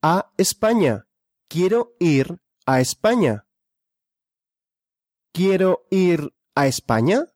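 Super slow drill voice, pitch swings a lot, asks then answers, dry close mic. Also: very animated, a little mellow, dry recording. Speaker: lesson drill speaker.

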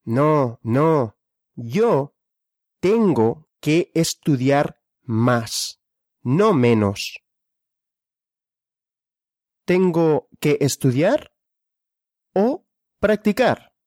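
A speaker leading a question-and-answer language drill. The speaker asks each question, then answers it deliberately. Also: mid-range voice, very animated, a little mellow, no echo, clean studio sound.

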